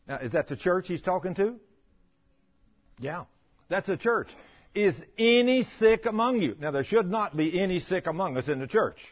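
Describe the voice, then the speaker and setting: A man's voice: high voice, muffled recording. A man preaching in a folksy, emphatic way, reading scripture and putting plain questions to the congregation.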